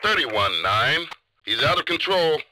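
Game police officer, intense and clipped, very forceful, lively pitch, over radio. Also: male voice, a little slow, slight background noise, exaggerated voice, poor audio. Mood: urgent. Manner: clipped, urgent. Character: intense, urgent male highway patrol officer. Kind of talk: video game radio chatter.

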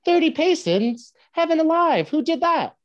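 A woman voicing an astonished, excitable gentleman duelist in a staged play reading. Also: extremely high pitch, a little slow, lo-fi sound, voice pushed up, full character voice.

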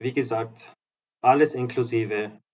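A slightly hoarse man giving an upbeat, promotional walkthrough of apartments as a real estate agent and vlogger. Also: mid-range voice, steady tone, measured pace, darkish tone, lo-fi sound. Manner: upbeat, promotional, walks through rooms while talking. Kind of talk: property tour narration. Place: apartment complex in a seaside town, indoors and outdoors.

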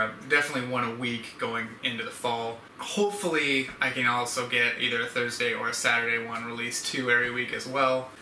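A man's voice: medium pitch, bright, forceful tone. A young man hosting a casual vlog, talking to camera in a rambling, conversational way. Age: young adult. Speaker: YouTube channel host. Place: indoor room at home, standing. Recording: echoey room, distant mic, background hiss.

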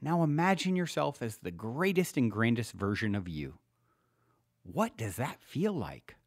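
A man narrates a self-help audiobook like a guide leading an exercise. His pitch sits a little low and moves expressively through each phrase. The delivery is measured and instructional, recorded very dry. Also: very animated, no echo. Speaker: podcast host reading his own self-help book.

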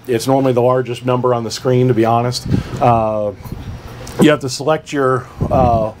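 A man presents an informal how-to guide in a mid-range voice. He is plain-spoken and instructive, taking things one step at a time. Clear ambient noise runs behind his speech. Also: medium pitch. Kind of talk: informal tutorial explanation.

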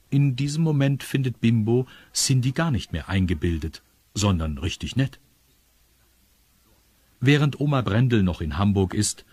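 A man's voice: fairly low pitch, darkish tone. A man narrating a scripted children's story, confiding in his young listeners. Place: studio.

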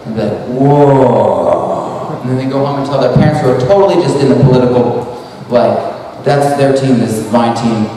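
A man's voice: mid-range voice, moderate pace, warm tone, slight background noise. Casual man talking in an echoey hall. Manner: rambling, casual, full of "like" and restarts. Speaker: speaker. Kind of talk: conference talk. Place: large hall at an expo. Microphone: handheld mic. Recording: echoey hall recorded from far off.

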